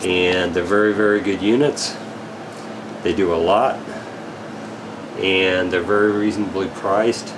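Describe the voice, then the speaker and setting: A man giving a casual, unscripted product update as an equipment dealer, in a rambling, halting, matter-of-fact way. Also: sits low, steady tone, background noise.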